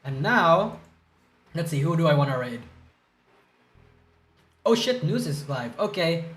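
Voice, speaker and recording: A man's voice: on the high side, sing-song, measured pace, fairly bright tone. A young man streaming live, rambling and swearing as he reacts to news, groggy from a hangover and stuttering. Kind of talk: casual livestream chat reacting to news.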